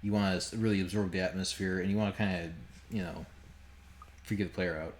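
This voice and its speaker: A man's voice: low voice, fairly even intonation, brisk, a little hiss or hum. A man giving casual, sweary, sardonic game commentary on a home stream. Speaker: game developer streaming a playthrough.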